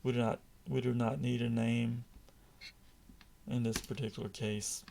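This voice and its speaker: A man with a raspy voice, calmly walking through a software tutorial over a screen recording. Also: medium pitch, slight background noise. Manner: slow, measured, patient explaining. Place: indoors at a computer, voice-over on screen capture.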